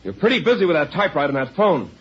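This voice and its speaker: A man's voice: fairly high pitch, sing-song, very fast, full character voice. An actor playing a surly, suspicious traveling salesman who turns belligerent, in an old-time radio drama. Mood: angry, accusing. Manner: gruff, suspicious, confrontational. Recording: dull, noisy old radio recording.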